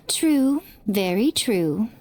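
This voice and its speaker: A woman's voice: a little high, big pitch swings, drawn-out words, heavily acted. An actress playing a sly, teasing, elegant woman in an anime video game, with coy, theatrical delivery.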